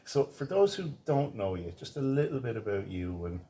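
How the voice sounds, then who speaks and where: Deep-voiced male interviewer, mellow tone. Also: sing-song. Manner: affirming, agreeable, quick to chime in. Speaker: conference organiser and interviewer. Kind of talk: casual interview chat.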